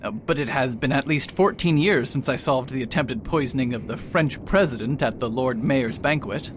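A man's voice: normal speed, lightly acted. A man playing a proud, aloof old retired detective in a radio play, pompous and hesitant in manner. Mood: boastful. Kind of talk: amateur radio play acting. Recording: faint rumbling background noise.

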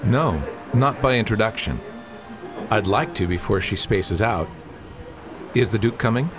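Man acting an earnest, low-pitched young nobleman. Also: lively intonation, music in the background. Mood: genteel. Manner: formal, measured, argumentative. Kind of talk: radio drama acting. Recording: clearly noisy outdoor ambience.

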